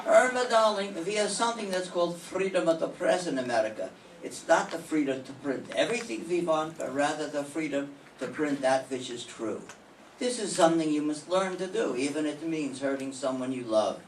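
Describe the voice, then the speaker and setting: A man's voice: on the high side, lightly acted. Brief: older man as a fatherly editor giving a lecture; echoey and far. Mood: earnest. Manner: lecturing, measured. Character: paternal, principled newspaper boss. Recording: roomy classroom, far from mic, faint hiss.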